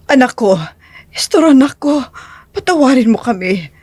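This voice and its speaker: Audio drama mother, female actor: remorseful, loving, high-pitched, pleading, dry close sound. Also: animated, moderate pace, darkish tone, breathy edge, no room sound. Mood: tearful, pleading. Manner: pleading, emotional.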